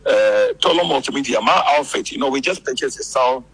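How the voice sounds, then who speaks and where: A man's voice: medium pitch, quick pace, a bit bright, no echo, poor audio. A man with a Ghanaian accent explaining things as a phone interview guest, repeating phrases and often adding "you know". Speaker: interview guest, entertainment media insider. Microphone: phone call. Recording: husky, rough radio phone-in audio.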